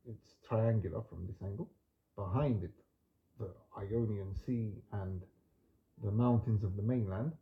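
A man hosting a relaxed podcast, talking in a gentle, unhurried and reflective way, with soft trailing endings and some Greek words read with a learner's accent. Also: lowish voice, gravelly, narrow, tinny audio. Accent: non-native Greek pronunciation when reading. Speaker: podcast host. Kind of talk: relaxed spoken monologue with poetry reading.

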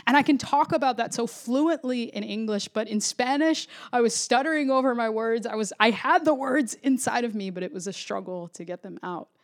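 A woman's voice: on the high side, moderate pace, few pauses. A woman pastor from Florida, preaching a sermon in an earnest, reflective way, often restarting phrases.

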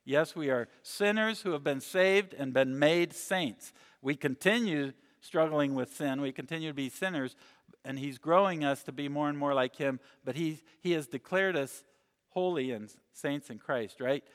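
A man raised in Mexico preaching a sermon in an earnest way, slowing down and dropping his voice for scripture and emphasis. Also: on the high side. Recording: long reverb in a big hall.

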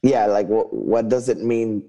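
Male co-host, warm dark timbre, mid pitch, casual review talk. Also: slow pace, dull audio. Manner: switches often between Spanish and English. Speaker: podcast co-host.

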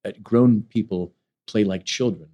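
Lively, animated male stage actor. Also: fairly low pitch, measured pace. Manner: animated storyteller, acts out quoted lines. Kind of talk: conversational interview.

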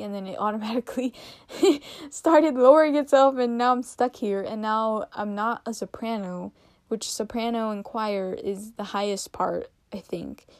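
A young woman in her teens hosting a casual solo podcast, rambling with lots of fillers and giggles, her voice a little raspy and tired. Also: medium pitch, no room sound. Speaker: podcast host.

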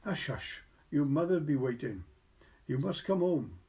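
A man's voice: fairly high pitch, sing-song, a little slow, mellow. A man voicing a bossy, indignant young woman who scolds in a storybook reading. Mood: scolding.

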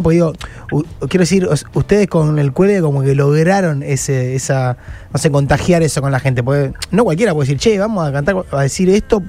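A man with a Rioplatense Argentine accent co-hosts a casual interview, speaking haltingly and rephrasing his thoughts as he goes. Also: on the high side, darkish tone. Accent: Rioplatense Argentine Spanish. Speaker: interview co-host.

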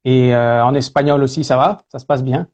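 A man from France talking casually as an interview guest, relaxed and full of hesitation fillers. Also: mid-range voice, a little fast. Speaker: guest, speaker and singer.

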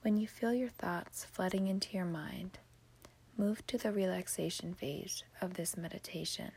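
A woman podcast host whispering a guided sleep meditation in a breathy, hushed, soothing manner. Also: on the low side, moderate pace.

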